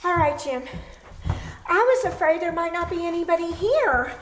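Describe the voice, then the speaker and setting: Actress as shaken woman survivor, very high pitch, pleading, radio thriller, roomy echo. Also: expressive, normal speed. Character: frightened, shaken woman seeking company in a disaster. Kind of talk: live old-time radio thriller acting. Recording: echoey live stage.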